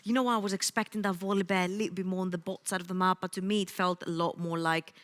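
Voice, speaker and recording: A woman co-hosting an esports desk as an analyst, breaking down the game in a rapid, chatty way. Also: fairly low pitch, steady tone, fairly bright tone, long reverb tail.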